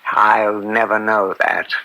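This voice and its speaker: A man acting in an old-time radio drama as an upright, conflicted middle-aged American judge, speaking gravely and deliberately with theatrical weight. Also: on the low side, flat delivery, sharp tone, full character voice, rough recording. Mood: anguished. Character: anguished, upright judge and father, bitter and conflicted.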